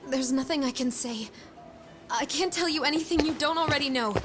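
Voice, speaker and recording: Actress as a timid, high-voiced woman. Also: a little fast, music in the background, natural voice. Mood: guilty, uneasy. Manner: hesitant, polite. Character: timid, polite woman, uneasy and withholding. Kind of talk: English video game dub acting.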